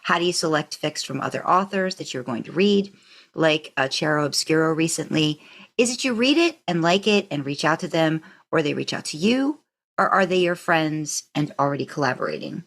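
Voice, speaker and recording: A woman's voice: sits low, expressive, normal speed, a bit bright. A woman co-hosting a podcast, asking questions in an informal, encouraging, chatty way. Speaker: podcast co-host. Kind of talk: casual interview chat.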